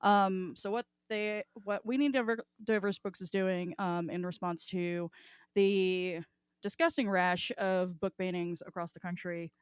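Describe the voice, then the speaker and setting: A woman's voice: fairly low pitch, fairly even intonation, a little slow, clean tone, no room sound, studio quality. A woman hosting a casual podcast chat, talking in an irreverent, informal, sweary way. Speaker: podcast host.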